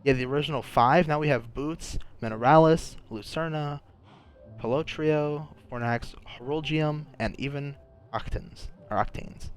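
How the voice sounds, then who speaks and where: A man's voice: on the high side, unhurried, clear, resonant tone. A young man with a sore throat, chatting casually and thinking aloud while playing a game, often restarting his sentences. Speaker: gaming channel host. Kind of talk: casual gameplay commentary.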